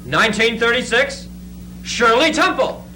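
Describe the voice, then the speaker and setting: A man's voice: very high-pitched, animated, unhurried, sharp tone. A young man giving declamatory scripted narration in a readers theatre performance, on a noisy stage recording. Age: young adult.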